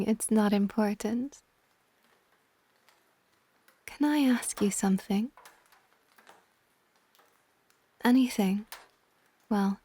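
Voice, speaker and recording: A woman playing a possessive, tender dragon goddess in an intimate ASMR roleplay, speaking softly and breathily, often near a whisper. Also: a little high, dry recording. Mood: tender, shy. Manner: soft, breathy, slow, often dropping to whispers.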